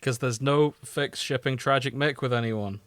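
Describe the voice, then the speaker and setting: A man's voice: medium pitch, moderate pace, fairly bright tone, dry recording. A man chatting casually as a podcast co-host, informal and opinionated in a bantering way.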